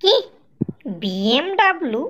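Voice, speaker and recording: A woman voicing a sharp-tongued, exasperated young village wife in a Bengali cartoon, scolding and sarcastic. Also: high voice, big pitch swings, slow, exaggerated voice.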